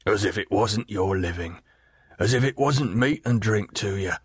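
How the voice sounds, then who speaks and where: A man's voice: lowish voice, normal speed, slightly rough, no room sound, studio quality. A man voicing a surly, blunt older boatman who is a father.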